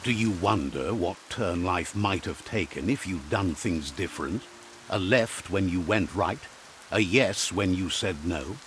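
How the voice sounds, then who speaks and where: An actor playing a wise, philosophical storyteller narrating a fantasy game in a solemn, measured way. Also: male voice, low-pitched, fairly bright tone, slight background noise. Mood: solemn, reflective. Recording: music behind the voice.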